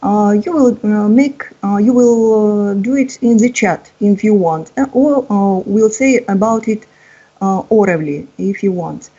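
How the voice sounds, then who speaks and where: Woman in her fifties, teacher, halting and encouraging, mellow husky tone over dull call audio. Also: mid-range voice. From Russia. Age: 50s. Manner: hesitant, many uh fillers, encouraging. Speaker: English teacher. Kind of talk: online exam-prep lesson. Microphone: video call.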